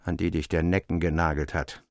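Man acting a loud, jeering sailor. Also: extremely low pitch, level tone, brisk, no room sound, clean studio sound, pitched below the performer's own voice. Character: boisterous mocking young Swedish sailor. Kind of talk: audiobook character acting.

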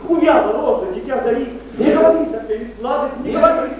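A man's voice: extremely high pitch, fairly quick. An actor playing a wistful, tender young male poet, reciting verse theatrically to friends. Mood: wistful, pleading. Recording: distant, very echoey, noisy muffled stage recording.

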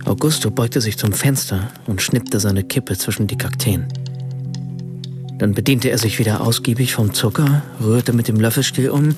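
Male actor as observant writer-narrator for radio drama: medium pitch, measured, detached, clear music underneath. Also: lightly acted. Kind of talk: scripted radio play narration.

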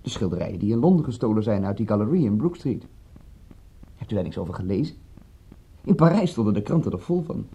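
An actor in a radio drama playing a shady, probing gentleman who is formal, courteous and insinuating. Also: fairly low pitch, sing-song, quick pace, pauses often, husky, heavily acted. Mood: probing, casual. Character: smooth-mannered, probing, shady gentleman with information to sell. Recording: muffled, dull old radio recording.

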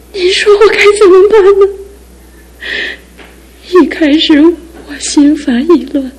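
A woman's voice: extremely high pitch, a little mellow. An actress playing a young woman facing her fiancé's lasting injury, earnest and tearful, in a radio drama. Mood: distraught. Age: young adult.

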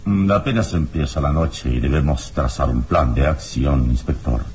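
An actor playing a calm, commanding heroic adventurer in a radio serial, speaking in a measured, formal, authoritative way. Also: male voice, rumbling low, slight background noise. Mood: grave, composed. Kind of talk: radio serial drama acting.

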